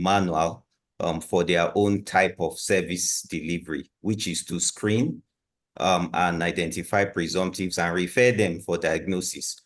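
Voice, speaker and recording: A man, a health program presenter answering webinar questions on a video call in a measured, explanatory way with frequent ums. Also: low voice.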